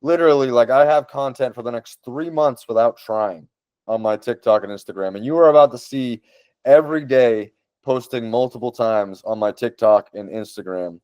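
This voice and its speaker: A man with a Boston accent talks casually and eagerly, doubling up words whenever he wants to stress a point. His voice sits at an ordinary middle pitch. The sound is close and dry, with no room around it. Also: moderate pace, a little mellow, smooth, dry recording. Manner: enthusiastic, repeats words for emphasis. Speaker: podcast host. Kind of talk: casual tutorial talk.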